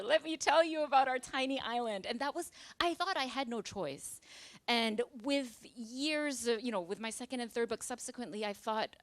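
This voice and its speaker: A woman's voice: on the high side, dry recording. Thoughtful woman novelist, dry sound. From Singapore. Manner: thoughtful, with many fillers and restarts. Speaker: novelist guest. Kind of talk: conversational author talk. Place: library event space with live audience.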